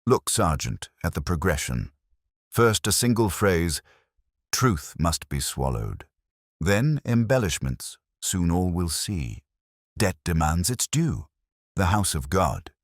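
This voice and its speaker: A male narrator plays a calm police inspector in a storybook mystery. The voice is very deep and dry, with animated cadence. He speaks gently and deliberately, kindly but shrewd. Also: no echo, exaggerated voice. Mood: deliberate explaining. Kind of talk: audiobook character dialogue.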